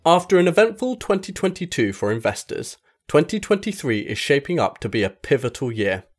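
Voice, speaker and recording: A man reading a magazine article aloud as scripted narration, in a measured, formal delivery. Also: a little high, sing-song, soft background music. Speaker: article reader.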